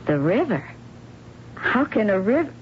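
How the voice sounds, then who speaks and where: A woman's voice: medium pitch, sing-song, a little slow. A woman playing an exasperated, disbelieving wife in an old radio drama, emphatic and questioning. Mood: incredulous. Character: incredulous, exasperated wife Alice. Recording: muffled old radio broadcast, faint noise.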